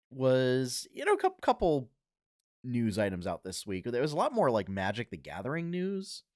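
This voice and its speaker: A man in his thirties hosts a casual gaming news podcast from his home, speaking into a headset. His fairly low voice is a little husky from a cold, and he stumbles along with plenty of fillers and restarts. The sound is dry and close. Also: dry recording. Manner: halting, many fillers and restarts. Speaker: podcast host. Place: home room full of collectibles.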